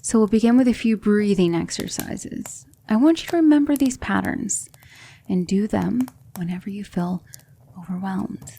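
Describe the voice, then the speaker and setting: Female demon tutor played by an actress: soft ASMR delivery, mellow with a creaky edge, patient, gently commanding. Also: mid-range voice, a little hiss or hum, natural voice. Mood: calm, reassuring. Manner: measured, instructive, reassuring, with hushed breathy dips. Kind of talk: soft-spoken ASMR roleplay.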